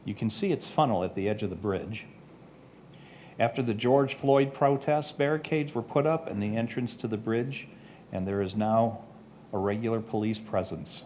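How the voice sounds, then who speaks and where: A man's voice: on the low side, moderate pace, darkish tone. A man reading prepared photo comments aloud at a photography club presentation. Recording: faint background noise.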